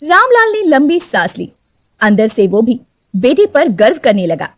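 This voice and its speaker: Woman narrating a cartoon story, high and lively. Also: measured pace. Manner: expressive storytelling.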